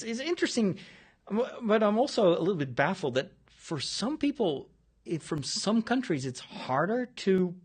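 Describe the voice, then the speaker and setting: Dutch-accented man, lively pitch. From Netherlands. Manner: digressive, searching for words mid-sentence. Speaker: priest and podcast host. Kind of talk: casual spoken monologue.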